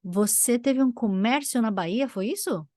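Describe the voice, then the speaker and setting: Woman, podcast host, casual questions, friendly teasing, slightly high voice, dry sound. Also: normal speed, mellow, no room sound.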